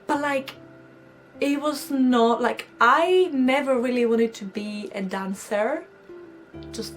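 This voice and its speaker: A young woman from Greece with a Greek accent, telling her story in a chatty, giggly vlog to camera. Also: a little high. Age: young adult. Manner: chatty, giggly, animated quoting of herself. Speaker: vlogger. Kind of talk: casual storytime chat to camera.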